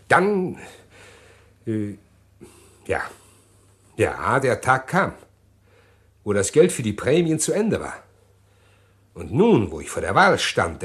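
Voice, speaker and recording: An actor playing a middle-aged man who calmly confesses a murder plot in an old radio play, measured and hesitant. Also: medium pitch, lightly acted. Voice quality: measured, deliberate, hesitant with pauses. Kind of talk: 1950s radio drama acting.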